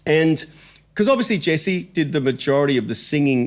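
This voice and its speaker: A man's voice: a little high, animated, brisk. A man hosting a casual music interview, often rephrasing his questions as he goes. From Australia. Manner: chatty, rephrases questions mid-sentence. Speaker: podcast host.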